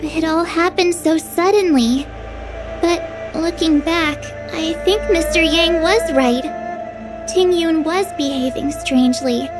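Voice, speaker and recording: A woman's voice: very high-pitched, slow. An actress playing a young, earnest, girlish female adventurer in a video game. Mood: earnest, troubled. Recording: game music behind the voice.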